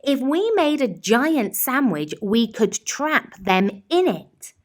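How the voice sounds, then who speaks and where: A woman voicing an excited, bossy village baker with a bright idea in a children's storybook. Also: a little high, slow pace, voice pushed up. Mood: eager.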